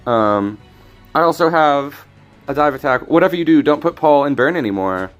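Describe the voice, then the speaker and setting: A man casually commenting on his card game as he plays, thinking aloud with frequent restarts, his voice a little raspy today. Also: on the high side, expressive, moderate pace, faint music behind. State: slightly raspy voice. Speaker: streamer.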